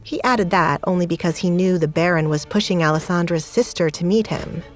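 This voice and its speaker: A woman narrating a scripted romance story in an even, measured way. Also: lowish voice, faint music behind.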